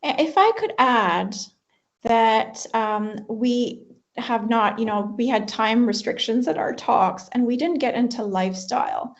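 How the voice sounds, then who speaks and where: A female cardiologist on a panel, speaking in a measured, methodical way. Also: a little high. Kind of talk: panel discussion. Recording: muffled video call audio.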